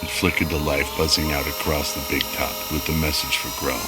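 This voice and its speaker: A man's voice: rumbling low, fairly even intonation, fairly quick, very noisy. A man reading scripted narration for an old-style radio drama.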